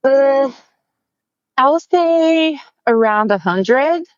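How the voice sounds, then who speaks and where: Brazilian accent woman, friendly rambly chat, slow, kinda muffled dry sound. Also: high-pitched, animated, no room sound. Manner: friendly, chatty, many fillers and restarts. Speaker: family photographer, guest. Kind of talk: casual interview chat.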